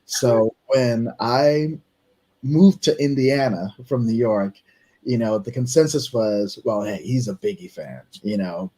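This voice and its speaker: A man's voice: medium pitch, slow, warm tone, poor audio. A man from Barbados hosting a radio interview show, chatty and easygoing, asking questions. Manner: easygoing, chatty, laughs along. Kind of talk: casual interview.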